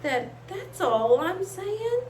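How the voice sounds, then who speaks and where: A woman's voice: extremely high pitch, big pitch swings, takes it slowly, voice pushed up, heavily acted. A woman voicing a good-natured, easily pleased male toy buffalo in a storybook, eager and simple. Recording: echoey room with faint hiss.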